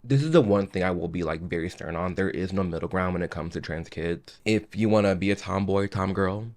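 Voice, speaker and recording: Male commentary host, lowish pitch, casual and emphatic. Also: brisk, darkish tone. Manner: emphatic, impassioned, rambling with restarts.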